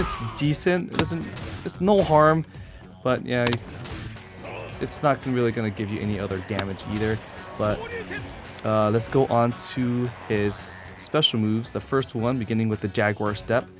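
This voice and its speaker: A man giving a casual, informal video game tutorial, explaining things step by step with blunt asides. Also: medium pitch. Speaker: competitive gamer presenting a character guide. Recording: voice over loud game music. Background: video game music.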